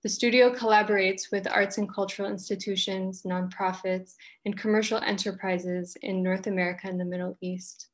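Even-voiced woman reading an introduction. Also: medium pitch, normal speed, hardly any pauses. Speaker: event host. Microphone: video call.

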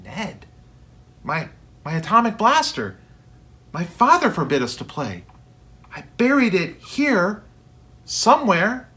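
A man's voice: high-pitched, wildly swooping pitch, slow, pauses often, pitched above the performer's own voice, exaggerated voice. A man voicing an emphatic, exasperated father in a storybook. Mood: stunned. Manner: emphatic, forceful. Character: stern exasperated father, grown man, softening to wonder.

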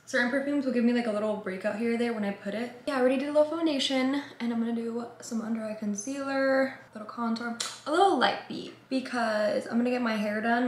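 A young woman chats to camera while doing her makeup, bubbly and talkative, leaning on "like" as she goes. Her voice sits a little high. The small room at home gives an echoey sound, with a faint hum of ambience behind her. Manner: chatty, bubbly, heavy use of "like". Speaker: vlogger. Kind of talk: casual vlog chat.

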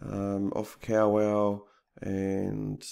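A man's voice: on the low side, completely flat delivery, drawn-out words, vocal fry, dark tone. A man in his fifties with a New Zealand accent, explaining things informally and unhurriedly in a casual fishing vlog. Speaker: fishing vlogger.

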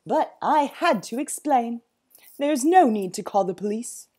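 A woman's voice: on the high side, very animated, slow, warm tone, exaggerated voice. A woman voicing a nervous, kindly old male zookeeper in a storybook, hesitant and apologetic.

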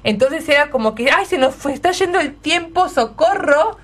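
A woman's voice: high-pitched, animated, normal speed. A woman with an Argentine accent hosting an unscripted radio chat in a gushing, effusive manner. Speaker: radio host.

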